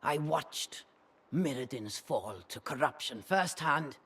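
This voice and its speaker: Raspy, haughty old sorceress crone. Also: female voice, low-pitched, expressive, full character voice. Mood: sly, mocking. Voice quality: raspy, husky. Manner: theatrical, deliberate, cackling. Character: centuries-old reclusive sorceress crone, haughty, sly and brooding. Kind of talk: dramatic video game acting. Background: background music.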